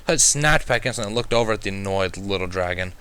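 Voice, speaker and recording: A man's voice: fairly low pitch, expressive, fast talker, bright, forceful tone, clear, resonant tone. A man reading a first-person story aloud as an amateur narrator, in a wry, dry manner. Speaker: amateur fan fiction reader.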